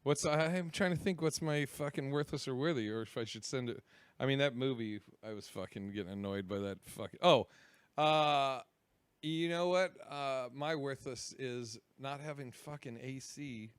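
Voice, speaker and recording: A man's voice: mid-range voice, moderate pace, clean tone, no echo. A man co-hosting a comedy podcast, laid-back, with plenty of casual swearing. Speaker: podcast co-host.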